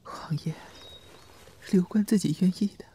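An actor playing a frail, devoted young male servant dying of poison in an audio drama, gasping and tearful in a breathy whisper. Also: sits high, moderate pace, warm tone, no room sound. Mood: weak, devoted. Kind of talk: Chinese historical audio drama acting.

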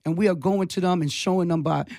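A man in his forties, a community organizer guest in a radio studio, speaking in a measured, speech-like way. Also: high-pitched, steady tone, darkish tone, no echo. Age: 40s. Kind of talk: advocacy talk in a radio studio discussion. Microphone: studio mic.

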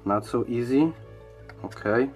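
A man giving a casual unboxing and product review in a halting, hesitant way, often repeating words. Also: medium pitch, over music.

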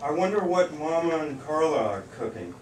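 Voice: medium pitch, very animated, a little fast, natural voice. A performer playing a shy, hesitant young boy bear in a children's puppet show. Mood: timid. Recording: echoey, noisy room from far off.

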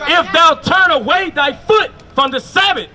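A man reading Bible verses aloud in a measured way on a city street corner. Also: very high-pitched, bright, forceful tone, a faint crowd. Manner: measured, announces book, chapter and verse. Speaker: scripture reader.

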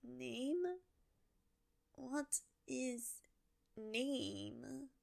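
A woman voicing a sleepy, friendly zombie girl who talks in slow, broken, simple words for an ASMR roleplay. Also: high voice, fairly even intonation, gravelly, a little mellow, full character voice. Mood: curious.

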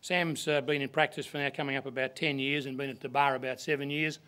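A man's voice: mid-range voice, little pitch movement, fast talker, a bit bright. An older man with an Australian accent, a clerk giving a practical, plain introduction at a podium. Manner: practical, plain-spoken, with fillers. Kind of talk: seminar introduction. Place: conference room. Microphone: podium microphone.

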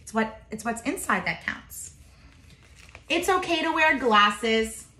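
Lady teacher reading a kids book out loud, highish bright voice, echoey kitchen. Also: slow. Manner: expressive, simple explanatory asides. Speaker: teacher reading aloud to children. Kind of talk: picture-book read-aloud with asides to young children. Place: home kitchen, indoors. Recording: roomy, echoey home kitchen.

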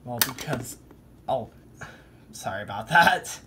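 A man talks casually in a mid-range voice, as if showing his collection to viewers at home. He halts and thinks aloud as he goes. The amateur handheld recording has clear noise behind him. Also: mid-range voice, expressive, takes it slowly, pushed and bright. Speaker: hobby vlogger showing a weather radio collection. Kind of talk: casual handheld show-and-tell vlog. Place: indoor room at home. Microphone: handheld camera or phone, behind the lens.

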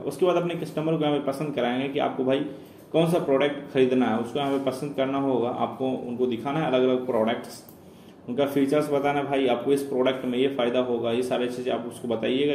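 A man's voice: medium pitch, a little mellow. Man walking viewers through a tutorial. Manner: explains step by step, addresses viewers as friends. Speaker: tutorial presenter. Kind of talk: screen-recorded tutorial. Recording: echoey room with faint noise.

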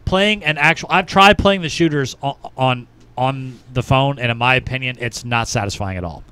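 A man's voice: mid-range voice, normal speed, sharp tone, clear, resonant tone. A man chatting casually about games as a podcast co-host, emphatic and opinionated, close on a studio mic. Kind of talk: casual gaming chat. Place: small podcast studio room. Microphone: close studio mic with headphones.

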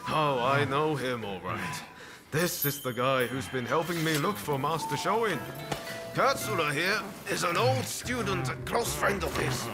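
An actor playing a jovial young ronin in a video game, with a relaxed, teasing manner. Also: male voice, a little high, measured pace. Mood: amused. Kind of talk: video game cutscene acting. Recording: music playing behind the voice.

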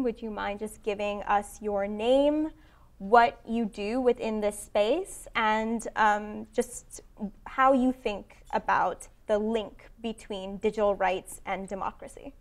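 A woman moderator introducing a panel in a semi-formal, spontaneous way on stage. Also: medium pitch. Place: seated on stage in a conference room.

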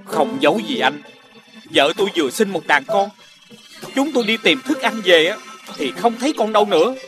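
High-pitched distressed father toad. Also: lively intonation, moderate pace. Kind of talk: children's animated fairy tale dialogue. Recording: loud music under the voice.

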